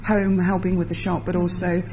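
A woman's voice: on the low side, flat delivery, normal speed, a little mellow, slight background noise. A woman interviewing a guest, curious and asking probing follow-up questions. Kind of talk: conversational interview.

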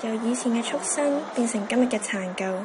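A woman's voice: a little high, brisk, noisy background. A young woman with a Hong Kong Cantonese accent, reading a reflective scripted narration.

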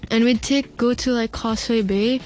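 A woman's voice: on the high side, fairly even intonation, normal speed, darkish tone, low-quality recording. A teenage girl who grew up in Hong Kong, chatting casually as a student guest and filling her stories with "like". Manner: chatty, many "like" fillers. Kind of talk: casual storytelling.

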